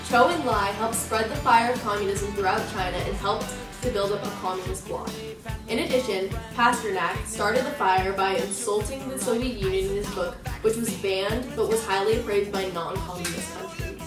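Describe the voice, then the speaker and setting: Young American female reporter, scripted delivery, echoey room, music clearly behind her. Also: mid-range voice. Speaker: student news reporter. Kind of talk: scripted amateur news explainer. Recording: roomy, distant mic, music behind.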